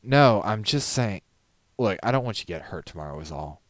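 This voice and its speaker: A man's voice: lowish voice, animated, brisk, no room sound, clean studio sound, voice pushed up, lightly acted. A man voicing a worried, skeptical male pony in a storybook reading, a blunt and protective friend.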